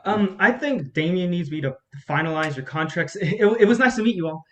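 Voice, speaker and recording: A man's voice: sits high, expressive, brisk, a little hiss or hum. A man in a staged play reading, playing an earnest, self-effacing young assistant with a hesitant, sincere way of talking. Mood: flustered.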